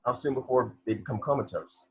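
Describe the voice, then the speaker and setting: A man playing a wounded, droll intellectual in an amateur script read, with a husky voice and a dry, halting, lecturing manner. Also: mid-range voice, a little mellow, slight background noise, lightly acted. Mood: probing. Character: wounded, sardonic male intellectual, droll and weary. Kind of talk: amateur screenplay table read.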